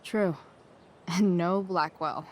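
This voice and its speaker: Rebellious teenage girl, lowish voice, sarcastic, dry, offhand, natural video game drama, ambient noise behind. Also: slow. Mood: dry amusement.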